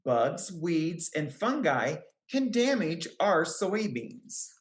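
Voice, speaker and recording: In a roomy, echoing space, a woman reads a children's picture book aloud in a low voice. Her delivery is careful and deliberate, with lively rises and falls in pitch that suit young listeners. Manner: careful, deliberate phrasing with short explanatory asides to children. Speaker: children's book reader, extension educator.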